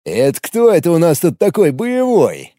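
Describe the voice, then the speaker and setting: A crude, brutal gang boss who sneers and threatens, his dark, mellow voice rising a little at the cruel edges as the male narrator reads him. He sounds close and dry, for an audiobook. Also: high voice, very animated, normal speed, creaky, no echo, full character voice. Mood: menacing. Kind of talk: audiobook character voice.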